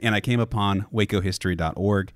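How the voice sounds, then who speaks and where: A man's voice: fairly low pitch, fast, dry recording. A man with an American accent hosting a podcast, talking in a casual, curious way and asking questions. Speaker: podcast host and interviewer.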